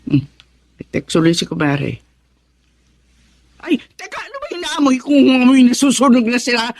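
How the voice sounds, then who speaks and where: A woman's voice: high-pitched, very animated, moderate pace, darkish tone. An actress playing a nosy, scatterbrained middle-aged wife and mother who gossips and exclaims to herself in a Tagalog radio drama. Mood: flustered panic.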